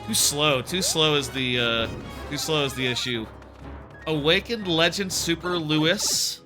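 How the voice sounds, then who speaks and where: A man's voice: a little high, a little slow. A man casually chatting while streaming a game, rambling with lots of fillers, a bit sniffly with a sore throat. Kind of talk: casual gameplay commentary. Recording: game music playing behind. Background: game music.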